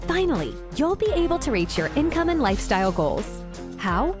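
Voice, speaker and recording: A woman reads a scripted show intro in a polished, emphatic way. Her voice sits high and moves widely in pitch. A loud music bed plays under her. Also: very animated, moderate pace. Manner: polished, emphatic announcer read. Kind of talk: scripted promo narration.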